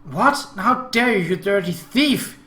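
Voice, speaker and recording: A furious, possessive teenage little sister , played by a man reading a visual novel aloud, pushed up high and loud. The voice swings about and rings in a roomy space. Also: expressive, slow, heavily acted. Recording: roomy echo, faint background noise.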